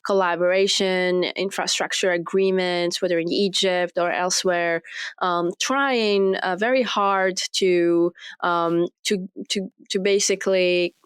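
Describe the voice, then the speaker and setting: A young woman from Morocco, a research fellow answering interview questions in a measured way with many fillers and restarts. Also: fairly low pitch, steady tone, smooth, no echo, studio quality.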